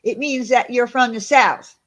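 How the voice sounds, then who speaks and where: A woman's voice: fairly high pitch, unhurried. A woman with a Long Island New York accent, hosting a casual livestream and reading out chat, talking in an indignant, rambling way with many fillers.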